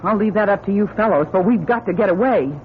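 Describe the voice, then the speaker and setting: A woman's voice: mid-range voice, fast talker, lightly acted. A woman playing a level-headed doctor held captive with her space crew in an old-time radio drama, firm, reasoning and urgent. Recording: tinny narrow-band radio recording, faint noise.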